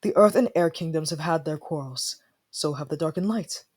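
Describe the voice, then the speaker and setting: Casting: an actor as a proud young fantasy prince of frost, tsundere temper, husky mid-pitched voice, formal and prickly. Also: normal speed, a little mellow, natural voice. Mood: frustrated, anxious. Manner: indignant, formal, dramatic sighing delivery. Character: young haughty, easily flustered ice prince, tsundere temper. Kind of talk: fantasy audio roleplay acting.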